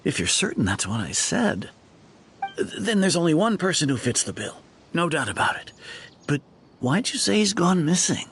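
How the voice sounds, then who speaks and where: A sure, chatty older villager, very lively pitch. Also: male voice, normal speed, slight background noise. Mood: friendly.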